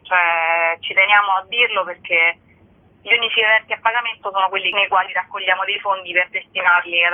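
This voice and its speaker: A woman speaking as an event organiser in an informal, explanatory radio phone interview. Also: medium pitch. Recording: narrow tinny phone line.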